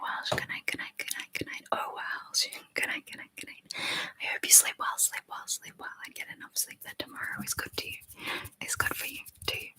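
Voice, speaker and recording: A woman hosting a whispered ASMR live chat, reading viewer comments aloud and softly repeating phrases over and over. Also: rough recording. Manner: reads viewer comments aloud and answers them. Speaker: ASMR livestream host.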